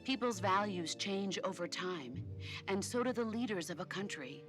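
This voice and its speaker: An actress playing a composed, solemn female war-hero mentor in a video game, measured and authoritative. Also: mid-range voice, animated, music in the background, natural voice. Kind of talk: video game cutscene acting.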